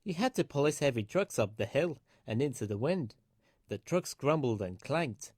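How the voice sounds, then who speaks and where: A man's voice: medium pitch, lively intonation, normal speed, darkish tone, no room sound, studio quality. A man reading a children's story aloud as scripted narration.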